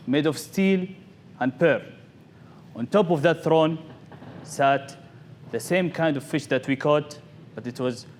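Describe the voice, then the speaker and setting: A man with a slightly high voice delivers a theatrical story as a contest speaker. He talks in short, deliberate phrases with dramatic pauses. The live event recording has some background noise. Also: darkish tone. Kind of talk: theatrical storytelling speech to an audience.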